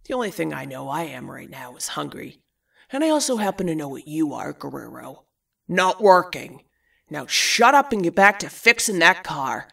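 A woman's voice: low voice, sing-song, normal speed, gravelly, a bit bright, distant mic, exaggerated voice. A woman playing a crass, dismissive older garage boss with a sarcastic manner in an audio story. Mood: sardonic, irritated. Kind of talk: audio fiction dialogue.

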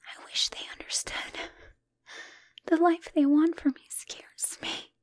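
A woman's voice: extremely high pitch, flat delivery, takes it slowly, dry recording, pitched above the performer's own voice. Anxious, devoted young spider-woman girlfriend, acted by a woman, close and dry. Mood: resolute, fragile. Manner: hesitant, emotional, sighing, often drops to a whisper. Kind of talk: ASMR roleplay.

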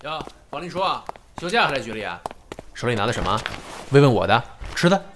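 A man's voice: medium pitch, very animated, fast talker, background noise, close to an everyday voice. An actor playing a nosy, joshing male police colleague in an audio drama. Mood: teasing. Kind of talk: Chinese audio drama acting.

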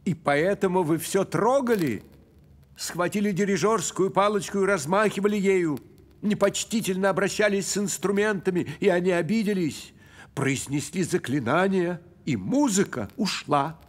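A man's voice: high voice, moderate pace. A man voicing a fussy, dignified cricket in a cartoon, with pompous, theatrical, old-fashioned formal speech. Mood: stern, scolding. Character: fussy, dignified male cricket, keeper of music. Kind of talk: cartoon character acting.

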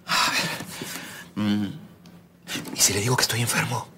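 A man's voice: on the low side, level tone, a little slow, noisy background. An actor with a Peruvian accent playing a timid, anxious middle-aged father in a sitcom. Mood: worried. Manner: nervous, fretting to himself. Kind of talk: sitcom acting. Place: indoor set.

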